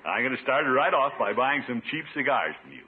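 Man as pompous middle-aged radio sitcom uncle, animated pitch, blustering, muffled vintage broadcast. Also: brisk, very noisy, close to an everyday voice. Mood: preachy. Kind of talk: 1950s radio sitcom acting.

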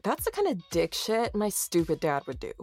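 A woman's voice: mid-range voice, wildly swooping pitch, moderate pace, darkish tone, no room sound, faint music behind. An actress playing a sarcastic, stubborn young American woman in a horror-comedy audio drama, sounding exasperated and sardonic. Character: sarcastic, foul-mouthed, stubborn young party planner.

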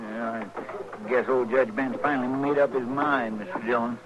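An actor playing a folksy, grumbling but loyal deputy in a 1950s radio western, with twangy, colorful rural Western American talk. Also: male voice, mid-range voice, steady tone, moderate pace, dull audio, lightly acted. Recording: noisy, hissy 1950s radio recording.

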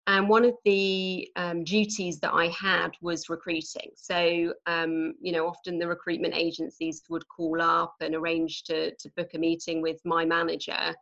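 A woman's voice: lowish voice, level tone, moderate pace, creaky, few pauses. English woman from Norwich, lo-fi. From England. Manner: warm chatty explaining, frequent ums. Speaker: recruitment manager, guest. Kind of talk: conversational podcast interview.

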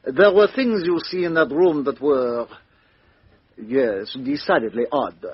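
An actor playing a fussy, precise middle-aged Belgian detective, in 1950s radio drama style. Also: male voice, medium pitch, unhurried, breathy edge, dry recording. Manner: precise, theatrical, drops to a hush. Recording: dull old radio recording.

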